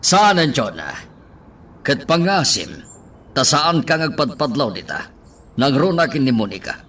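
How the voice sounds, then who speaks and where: A man's voice: medium pitch, big pitch swings, unhurried, a bit bright, breathy edge, far from the mic, exaggerated voice. An actor playing a commanding, wealthy man, shaken but controlled, in an Ilocano radio drama. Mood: serious.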